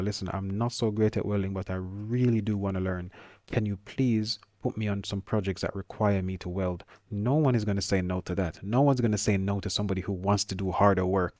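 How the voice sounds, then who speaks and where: Jamaican guy teaching over a screen share, lowish voice, quick with some stutters, dry sound. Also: a little mellow, no echo.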